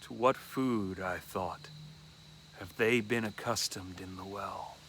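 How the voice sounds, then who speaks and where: A man reading a classic horror story aloud as dramatic first-person narration, deliberately and with dramatic pauses. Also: deep voice, a bit bright, slight background noise.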